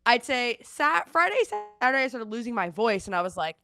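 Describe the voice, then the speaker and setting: A woman in her thirties, congested and losing her voice, chatting at home and telling a lively story over a video call. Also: fairly high pitch, a little fast. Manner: chatty storytelling, animated retelling. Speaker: co-host. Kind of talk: casual chat. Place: at home in Seattle.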